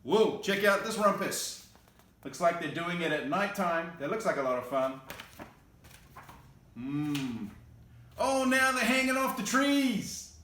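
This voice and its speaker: A man's voice: sits high, animated, takes it slowly, pauses often, sharp tone, echoey room, a little hiss or hum. A man reading a picture book aloud expressively, with playful, casual asides and exclamations as he goes. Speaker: storyteller.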